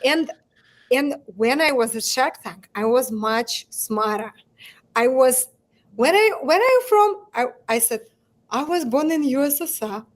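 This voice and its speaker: Russian-accented woman, high and halting. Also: expressive. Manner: halting, many restarts, laughs between phrases. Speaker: guest, bodysuit brand founder. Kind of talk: candid interview conversation.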